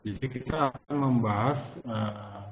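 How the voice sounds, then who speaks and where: A man hosting a live online trading webinar, talking slowly with many pauses and fillers and a slight cough. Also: mid-range voice. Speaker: webinar host and forex educator. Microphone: computer mic over webinar. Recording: tinny phone-like webinar audio.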